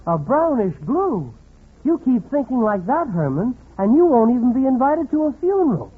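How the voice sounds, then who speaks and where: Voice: mid-range voice, very animated, normal speed, a little hiss or hum, close to an everyday voice. An actor playing a wry, scolding commentator in 1940s radio comedy, sardonic and admonishing. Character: wry scolding commentator chiding a domineering wife. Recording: muffled 1940s radio recording.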